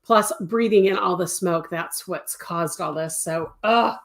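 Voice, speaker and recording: An older woman with a stuffy cold and a slightly raspy throat, chatting casually as host of a weekly live stream, greeting viewers by name. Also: fairly low pitch, a little slow. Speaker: crochet designer hosting a weekly live stream.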